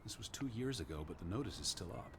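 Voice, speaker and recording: An actor playing an earnest, cautious, bookish American scholar in a 1920s-set video game, speaking properly and with measured care. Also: male voice, low voice, expressive. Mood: pondering. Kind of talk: naturalistic video game voice acting. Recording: clear background ambience noise.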